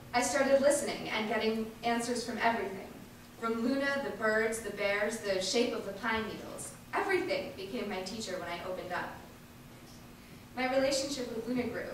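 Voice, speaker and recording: A woman giving an earnest, emphatic dramatic reading of a first-person monologue, with deliberate pauses. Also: on the high side, a bit bright. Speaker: reader performing an activist's first-person testimony. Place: large indoor event space, distant microphone. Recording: echoey hall from far off, faint noise.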